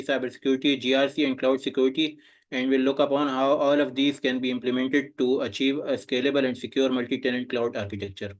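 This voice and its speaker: A young man giving an oral exam presentation over a video call, speaking hesitantly with many filler sounds. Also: mid-range voice. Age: young adult. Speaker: student presenter.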